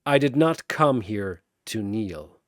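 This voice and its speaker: Man voicing a solemn, slow-speaking god-killing warrior. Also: fairly low pitch, lively intonation, no echo, studio quality, voice pushed down. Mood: defiant. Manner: slow, grave, formal. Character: solemn, proud male warrior, a god killer.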